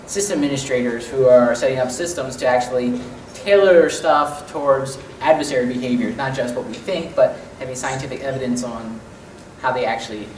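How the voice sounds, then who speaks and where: A woman's voice: a bass voice, brisk, a little mellow. A woman giving formal remarks to a conference audience. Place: conference room. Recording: echoey room, distant mic, faint noise.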